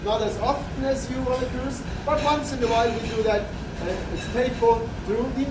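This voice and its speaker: A man with a loud, projecting voice giving a chatty, joking guided tour to a group in a large ship kitchen. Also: very high voice, normal speed. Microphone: far camera in the crowd. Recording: echoey kitchen from far off with loud background noise.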